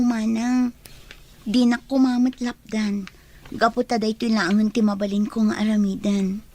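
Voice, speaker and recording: A woman's voice: on the high side, a little mellow. A young woman playing a shy, wistful girl hiding an unrequited love, in a radio drama.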